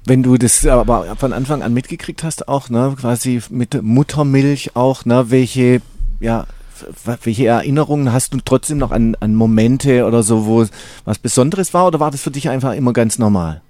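A man's voice: mid-range voice, normal speed, warm tone, dry recording. A man interviewing guests, asking curious questions that he often restarts.

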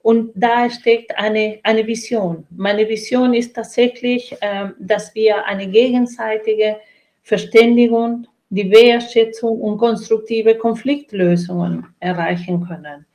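A woman's voice: fairly high pitch, fairly even intonation, takes it slowly, warm tone, low-quality recording. A middle-aged woman with a Latin American accent, giving earnest, reflective answers as an interview guest. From Chile and Brazil. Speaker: interview guest, trainer and coach. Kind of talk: reflective interview answer about own life and work.

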